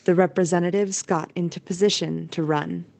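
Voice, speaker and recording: A woman narrates an audio play in a mellow, somewhat low voice. Her scripted storytelling is recorded very dry and close. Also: fairly even intonation, normal speed, creaky, no echo.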